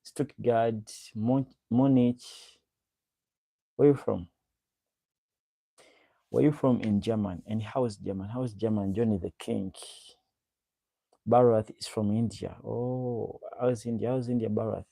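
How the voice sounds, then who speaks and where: Gravelly, dark-voiced man chatting on stream. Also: on the low side, fairly even intonation, measured pace, long pauses, no echo. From Uganda. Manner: greets viewers by name, repeats himself. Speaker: livestream host. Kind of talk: casual livestream chat reading comments.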